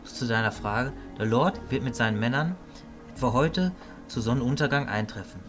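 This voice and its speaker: A man's voice: mid-range voice, moderate pace, over music, close to an everyday voice. Composed, grave fatherly mentor mage, played by a man. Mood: calm, firm. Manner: composed, matter-of-fact.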